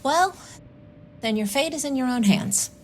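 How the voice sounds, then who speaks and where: An actress playing a stern, unsympathetic academic adviser in an audio drama, firm and official in manner. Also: female voice, high-pitched, expressive, a little slow, slight background noise. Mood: stern, admonishing.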